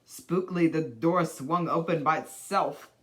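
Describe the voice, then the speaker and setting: Slow-paced storybook reader. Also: mid-range voice, a bit bright. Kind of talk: read-aloud children's storybook narration.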